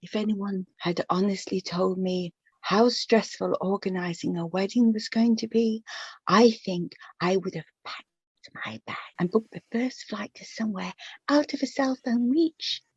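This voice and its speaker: A middle-aged woman playing a chatty, wistful widowed mother of the bride in a naturalistic radio play, speaking in a halting, reflective, conversational way. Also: mid-range voice, darkish tone, husky, dry recording, lo-fi sound. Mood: flustered, chatty.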